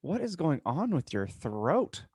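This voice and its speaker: A man's voice: fairly high pitch, sing-song, unhurried, darkish tone, slightly rough, no room sound, studio quality. A man co-hosting a podcast in casual, conversational chat. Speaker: podcast co-host.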